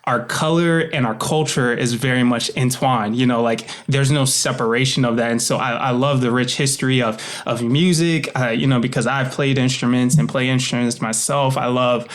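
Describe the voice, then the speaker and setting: A man with an American accent speaks at a medium pitch. He talks casually and reflectively as a guest, often halting as he feels out the right words. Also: fairly even intonation. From United States. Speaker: interview guest, author and voice actor.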